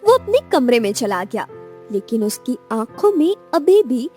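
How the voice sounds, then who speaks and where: A woman narrates a scripted romance. Her voice is high and very melodic in its rise and fall, expressive throughout, with faint music behind. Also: a little slow. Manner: expressive storytelling.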